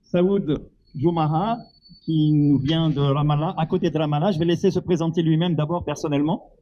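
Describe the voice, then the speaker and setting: A man's voice: fairly high pitch, fairly even intonation, moderate pace, warm tone. A man acting as host and organiser, introducing a guest and putting questions. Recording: muffled sound with faint background noise.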